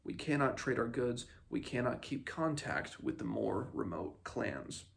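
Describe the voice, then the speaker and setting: A man's voice: mid-range voice, big pitch swings, unhurried, vocal fry, slight background noise. A man reading a fantasy novel aloud as a blunt, grave lord making a formal, firm appeal to his king. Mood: grave urgency.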